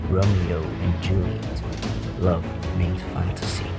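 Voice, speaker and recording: A man's voice: low-pitched, monotone, takes it slowly, over loud music. A man narrating a scripted promotional trailer in a dramatic style. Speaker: trailer announcer.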